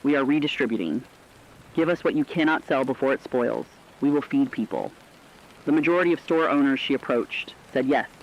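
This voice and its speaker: A man's voice: medium pitch, brisk, dull audio, close to an everyday voice. A man playing an earnest radio correspondent, telling human stories in solemn, scripted narrative reporting. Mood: solemn, moved.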